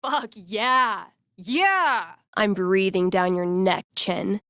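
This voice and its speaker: A young woman in a video game, a teasing friend with a sardonic streak. Her voice sits at a middle pitch and she talks casually, with playful banter. The recording is dry and close, with no room sound. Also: extremely slow, sharp tone, dry recording, heavily acted. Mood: playful, competitive. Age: young adult. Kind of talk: naturalistic video game voice acting.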